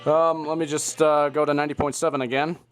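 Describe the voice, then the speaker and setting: A young man hosting a casual hobby show-and-tell, with an excitable manner and a crude, joking streak. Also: a little high, quick pace, soft background music. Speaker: video host.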